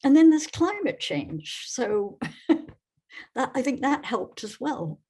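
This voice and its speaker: A woman from Scotland giving conversational interview answers as a guest, in a measured, wry way with a husky voice. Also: high-pitched, big pitch swings, darkish tone. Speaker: guest novelist.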